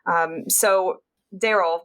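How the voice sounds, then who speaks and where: A woman's voice: on the low side, steady tone, slow pace, a bit bright. A woman hosting a clinical interview podcast, speaking with enthusiasm and occasional fillers. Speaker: podcast host.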